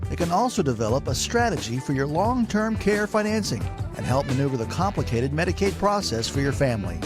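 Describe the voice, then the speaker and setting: A man's voice: a little high, very animated, brisk. An American man reading a scripted radio commercial as its announcer, with a music bed behind him. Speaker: advertisement announcer.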